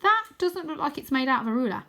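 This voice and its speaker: A woman's voice: sits high, very animated, fast, bright, forceful tone, voice pushed up, full character voice. Woman as an anxious, bewildered young boy. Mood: anxious, pleading. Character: anxious young boy, bewildered, urgent about his granddad. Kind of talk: children's storybook character reading.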